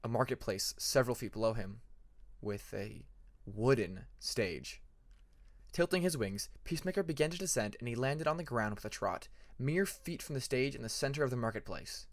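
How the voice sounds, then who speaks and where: A man narrating a fantasy story like an audiobook, in an expressive, dramatic manner. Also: medium pitch, moderate pace. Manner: expressive, acts out dramatic passages. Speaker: fan fiction narrator.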